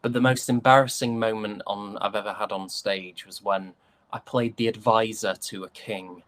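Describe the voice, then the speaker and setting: British male, low register, very slow delivery, self-deprecating actor in casual interview chat. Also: bright, forceful tone. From United Kingdom. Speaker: guest, stage and voice actor.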